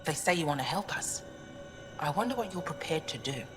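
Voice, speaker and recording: Game casting: serious female alien researcher, very low register, formal and resolute, reverberant, music underneath. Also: animated, brisk, a bit bright. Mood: challenging. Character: earnest, challenging female alien scholar, resolute and reserved. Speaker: archaeoastronomer. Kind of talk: video game dramatic acting. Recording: long reverb, clear music behind voice.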